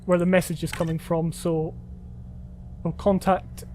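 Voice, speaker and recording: A man's voice: high voice, flat delivery, unhurried, a little mellow, a little hiss or hum. A man hosting a gameplay video, chatty and self-deprecating as he thinks aloud. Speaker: host. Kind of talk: gameplay commentary.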